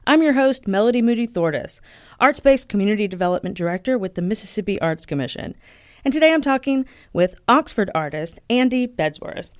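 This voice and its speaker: Lively woman hosting a radio arts show. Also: mid-range voice, brisk. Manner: friendly, conversational with light fillers. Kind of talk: radio interview.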